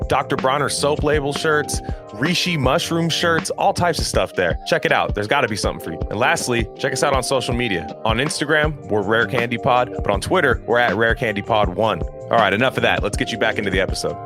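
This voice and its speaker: A man's voice: mid-range voice, brisk, music in the background. A man hosting a podcast, talking casually in an informal, meandering way. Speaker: podcast host.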